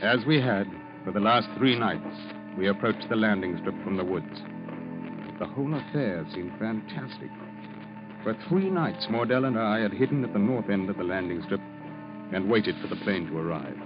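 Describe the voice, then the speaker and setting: An actor playing an uneasy, weary ex-soldier in old radio drama, speaking and narrating in a grave, restrained way. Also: male voice, lowish voice, animated, moderate pace, lightly acted. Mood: grave suspense. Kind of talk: 1940s-50s radio drama acting and narration. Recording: dull old radio recording with music.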